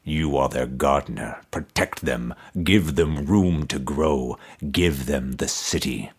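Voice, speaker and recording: Picture a dead emperor's skull buried under a tree, speaking in a resonant, toneless voice from far below as a male narrator puts him on. The voice sits well beneath a deep speaking voice, slow and heavy with command. It suits a dark spoken-word fantasy tale. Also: slightly rough, exaggerated voice. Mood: menacing.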